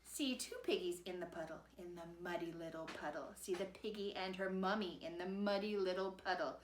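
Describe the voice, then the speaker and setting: A woman's voice: fairly low pitch, moderate pace, reverberant, slight background noise. A woman narrating a rhyming children's story in a playful, rhythmic singsong. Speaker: storybook reader.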